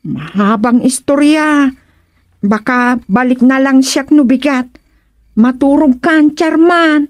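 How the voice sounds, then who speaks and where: A woman's voice: high voice, takes it slowly, dry recording, studio quality. A woman playing a hesitant, apologetic villager in a radio drama comedy, speaking politely. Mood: embarrassed, hesitant.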